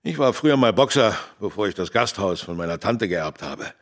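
A man playing a gruff, fearless innkeeper and former boxer, laconic, in a children's radio play. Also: lowish voice, animated. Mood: calm, determined. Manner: laconic, unhurried, stout-hearted.

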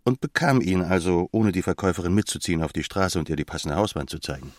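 An actor in a radio play playing a reflective, articulate journalist who speaks in a deliberate, wordy way. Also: male voice, sits low, no room sound, clean studio sound, lightly acted. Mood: reflective, measured. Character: reflective male West German journalist, measured and articulate.

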